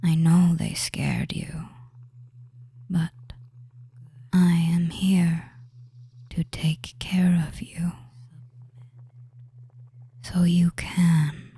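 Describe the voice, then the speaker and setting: A possessive, doting witch, acted out by a performer in a hypnosis audio roleplay. The voice is a soft, breathy whisper, low and mellow. It moves very slowly, lulling and controlling, with deliberate pauses. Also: level tone, full character voice. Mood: soothing, controlling.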